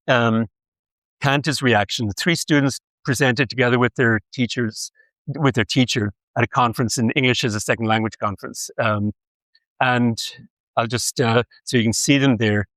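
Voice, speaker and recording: An older man lecturing, speaking in a measured way with frequent pauses and hesitations. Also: mid-range voice, fairly bright tone, dry recording, rough recording. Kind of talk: academic lecture.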